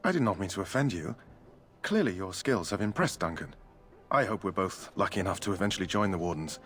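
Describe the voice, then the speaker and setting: An eager knight from a fantasy game, with a fairly low voice and quick delivery. He is formal and courteous in the way he speaks. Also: lively intonation, fairly bright tone, soft background music. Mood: apologetic, eager. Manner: formal, polite. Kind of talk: video game voice acting.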